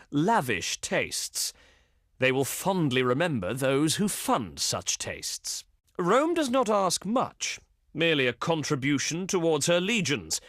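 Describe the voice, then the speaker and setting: A haughty Roman diplomat for a strategy game, delivers formal lines with condescending grandeur. His voice sits a little high and swings widely in pitch, like a practiced orator. The sound is close and dry. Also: a bit bright, no room sound. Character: haughty Roman diplomat, male envoy of the Senate. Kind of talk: theatrical scripted game dialogue.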